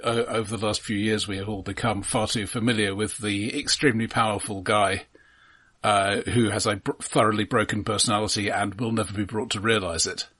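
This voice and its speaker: A man co-hosting a podcast, giving his film opinions in casual chat with a measured, understated manner. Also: fairly low pitch, fairly even intonation, bright, forceful tone, breathy edge.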